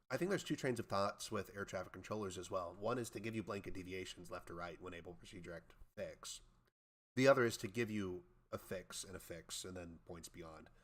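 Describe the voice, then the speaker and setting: A man with a lowish voice talks casually as he reads and answers viewer messages. He sounds like a working air traffic controller, informal and unscripted, reasoning through things out loud. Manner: informal, thinking aloud, imitates beeps and radio calls.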